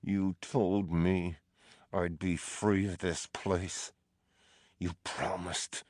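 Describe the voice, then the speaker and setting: A man's voice: low voice, little pitch movement, a little mellow, dry recording, studio quality. A man doing a battered, bitter male prisoner. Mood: bitter, reproachful. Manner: slow, drawn-out accusations rising to anguished outbursts.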